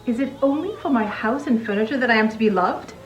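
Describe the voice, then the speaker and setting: Reserved, easily flustered genteel lady acted by a woman, very high-pitched, roomy sound, for a dramatized novel. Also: expressive, quick pace, natural voice. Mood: wounded, wondering. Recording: roomy echo.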